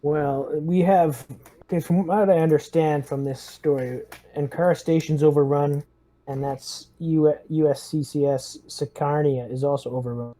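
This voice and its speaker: A man's voice: fairly high pitch, fairly even intonation, moderate pace, dark tone, rough recording. A man playing a tabletop game, chatting casually and thinking aloud in a tentative way. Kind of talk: casual chat.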